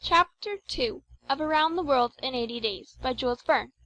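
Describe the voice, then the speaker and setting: A woman narrates an audiobook of classic fiction. Her voice sits somewhat high, and her delivery is measured and formal, as scripted storytelling. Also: expressive, pushed and bright, no room sound, low-quality recording.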